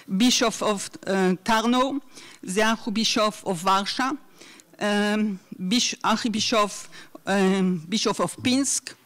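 A woman's voice: mid-range voice, slow pace, a bit bright. A middle-aged woman giving a conference talk from notes at a university hall, hesitant with many fillers, speaking into a podium mic. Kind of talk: academic talk read from notes. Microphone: desk mic on a stand.

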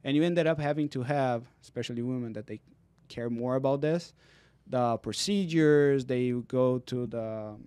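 A man in his thirties explains exercises and leg health with patient earnestness. His voice is mellow and warm rather than bright, at an ordinary middle pitch. It is recorded close on a studio mic with a dry, dead sound. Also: normal speed, smooth, no room sound. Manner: earnest, explanatory, non-native phrasing. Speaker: physical therapist guest. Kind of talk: explaining in casual interview. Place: dark podcast studio. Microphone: studio mic on boom arm, headphones.

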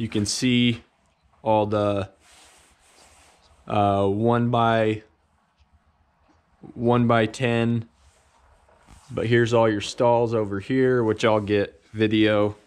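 A man's voice: fairly low pitch, drawn-out words, pauses often, smooth. A man, casually explaining a barn repair job on his vlog with lots of fillers. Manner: relaxed and explanatory, with many "uh" and "kinda" fillers. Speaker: carpenter and channel host. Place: outdoors at a farmyard barn and inside a large workshop.

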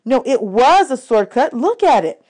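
Woman doing a smart cool-headed teen girl in a storybook, brisk, pitched up a bit. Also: very animated, darkish tone. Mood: insistent. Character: clever, cool-headed teenage girl strategist. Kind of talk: audiobook character reading.

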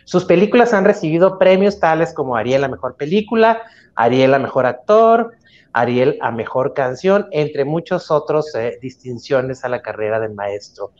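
Man, middle-aged, Mexican accent, live show host, slightly halting delivery, lo-fi video call. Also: mid-range voice, animated, a little mellow. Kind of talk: live interview introduction. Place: indoor room at home, video call. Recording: dull lo-fi video call audio.

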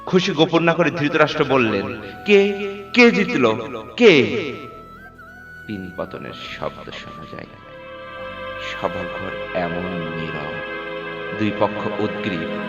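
A man reciting poetry theatrically and deliberately, moving between hushed and forceful delivery. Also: low-pitched, expressive, gravelly. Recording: echoey reverberant hall sound, dull, with music. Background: music.

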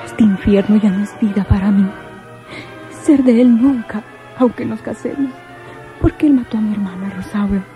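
A woman's voice: medium pitch, moderate pace, dark tone, soft background music, lightly acted. An actress playing a defiant, anguished woman in a melodramatic radio drama. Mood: despairing. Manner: melodramatic, emotional. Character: defiant, anguished woman facing her sister's killer.

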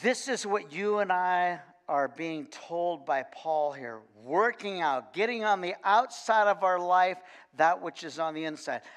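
An older man preaching a sermon in a folksy, animated way, full of repeated words and "okay?" asides. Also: fairly high pitch. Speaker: pastor. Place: large church sanctuary, indoors. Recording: long reverb of a church hall.

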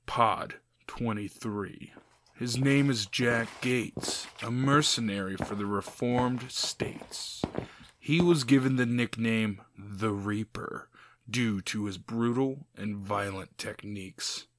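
An actor playing a deferential, matter-of-fact aide to a gang boss, explaining deliberately in an audio drama. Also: male voice, lowish voice, fairly bright tone, no echo. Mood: grave. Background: music.

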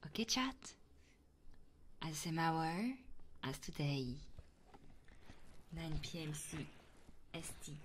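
A woman streamer doing ASMR and chat in a soft, intimate voice that often drops into whispers, close to the microphone. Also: deep voice, animated, slow pace, long pauses, a little hiss or hum. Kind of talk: ASMR roleplay and chatting livestream.

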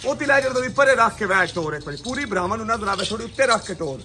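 A man's voice: sits high, expressive, very fast, pushed and bright, slight background noise. A middle-aged man hosting a cattle sales video outdoors on a farm, showy and upbeat, directing the handlers. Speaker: livestock video host. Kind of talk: sales pitch showing cattle.

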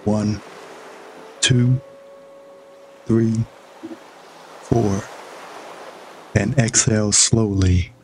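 A man with a fairly low voice leads a guided meditation as a scripted narration. He speaks very slowly, leaving long pauses between short instructional phrases. There is clear ambient noise behind his voice. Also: fairly even intonation, rough recording.

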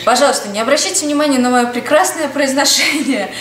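A woman's voice: high-pitched, fast talker, a bit bright, roomy sound, slight background noise. A woman in her thirties with a Russian accent, chatting candidly as a tutor and parent, then reading English words one by one into a webcam at her desk. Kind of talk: casual vlog-style lesson, reading word lists. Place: small indoor room.

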